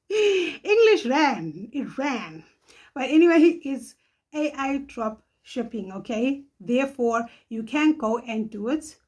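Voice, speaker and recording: Husky south african lady, middle-aged, chatty vlog host, laughs a lot, bit high voice. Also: very animated, takes it slowly, darkish tone. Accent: South African, Johannesburg. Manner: chatty, laughs often, tags lines with "okay?". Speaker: channel host. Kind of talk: casual vlog advice.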